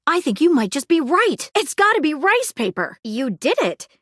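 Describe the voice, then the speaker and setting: A woman's voice: high-pitched, big pitch swings, normal speed, fairly bright tone, dry recording, clean studio sound. A woman playing a curious, precise female detective in a video game, articulate and inquisitive. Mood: excited, triumphant. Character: living magnifying glass detective woman, keen, curious, precise, flirtable. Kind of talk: video game character acting.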